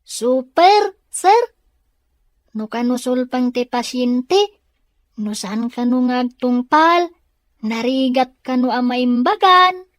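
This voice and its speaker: A woman's voice: high-pitched, lively intonation, takes it slowly, long pauses, no echo, studio quality. A woman playing a sweet, innocent young child in an Ilocano radio drama, earnest and childlike, with a Filipino accent. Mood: curious, earnest.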